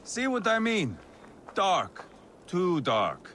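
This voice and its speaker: An actor playing a brash, bloodthirsty, mocking Viking warrior with a theatrical, boastful manner in a video game cutscene. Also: male voice, high-pitched, huge pitch swings, drawn-out words, fairly bright tone, a little hiss or hum, full character voice. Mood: teasing. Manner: theatrical, boastful, swinging between teasing and menace.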